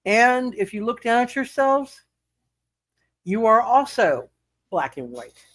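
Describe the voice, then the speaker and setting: High-voiced man running a tabletop game. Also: very animated, fairly bright tone. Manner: halting, repeats phrases for emphasis. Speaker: game master. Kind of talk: casual live tabletop game narration.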